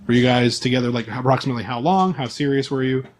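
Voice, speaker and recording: A man's voice: mid-range voice, fast talker. A man acting as game master in a casual tabletop session, prompting players with questions and repeating their answers back. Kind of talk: casual tabletop role-play session chat.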